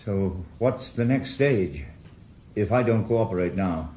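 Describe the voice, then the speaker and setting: A man with a Welsh accent playing a bitter, sarcastic retired detective in a radio drama, blunt and gruff. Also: deep voice, animated, slow, dark tone, a little hiss or hum. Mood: wary. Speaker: lead actor.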